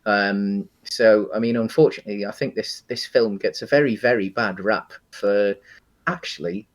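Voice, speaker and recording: A man with a British accent and a fairly low voice talks casually as a podcast co-host. His delivery is halting, often pausing partway through a thought before carrying on. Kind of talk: casual film and games discussion.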